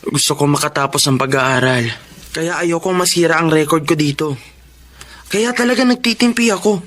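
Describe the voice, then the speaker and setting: A man's voice: fairly high pitch, normal speed, fairly bright tone, natural voice. A man acting a humble, patient young student janitor in a Filipino radio drama, speaking politely and earnestly with a Filipino accent. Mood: calm, forbearing.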